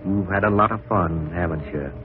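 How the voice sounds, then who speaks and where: An actor playing a resentful, menacing and panicky farmhand in a melodramatic old-time radio thriller, on a muffled vintage recording. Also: male voice, sits low, normal speed, soft background music, lightly acted. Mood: bitter, menacing. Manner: melodramatic, intense.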